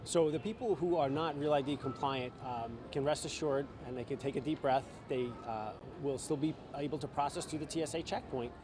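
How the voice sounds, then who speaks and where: A man giving a public statement as an official spokesperson, pausing as he explains. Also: a little high. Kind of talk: measured public statement with pauses. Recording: clear background ambient noise.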